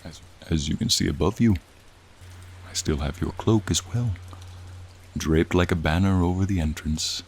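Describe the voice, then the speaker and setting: A man's voice: moderate pace, pauses often. A man voicing a friendly, charming frost dragon in a soothing, courtly, admiring manner, in an ASMR roleplay with rain behind him. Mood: admiring, charmed. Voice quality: low, gravelly, soothing. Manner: courtly, leisurely, warmly attentive. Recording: steady rain sounds behind the voice. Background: rain falling.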